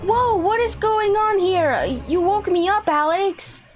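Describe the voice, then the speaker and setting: High-voiced young woman, exclamatory. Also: takes it slowly, faint music behind. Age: young adult. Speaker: YouTube gaming host. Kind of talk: roleplay narration and chat.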